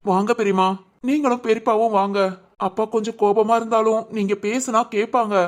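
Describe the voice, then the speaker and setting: A woman's voice: a little high, steady tone, fairly quick, close to an everyday voice. A woman playing an anxious, respectful young son in a family audio drama, earnest and pleading. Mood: worried.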